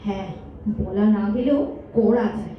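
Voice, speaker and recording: A woman's voice: mid-range voice, normal speed, close to an everyday voice. A woman playing an aggrieved, sarcastic girlfriend in a melodramatic stage audio drama. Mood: accusing. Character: hurt, accusing girlfriend in a long relationship. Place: multipurpose hall stage. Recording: distant echoey hall, dull, faint noise.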